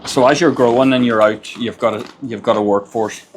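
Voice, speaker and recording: A man's voice: medium pitch, fairly even intonation, brisk, slight background noise. An Irish man, a construction business owner, gives plain-spoken advice in a casual monologue and often repeats words as he gathers his thoughts. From Ireland.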